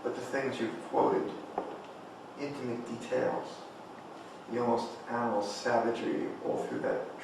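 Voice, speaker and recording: A man playing a wounded, indignant friend in a table read of a play, accusing and halting. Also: medium pitch. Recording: echoey room, far mic, clear noise.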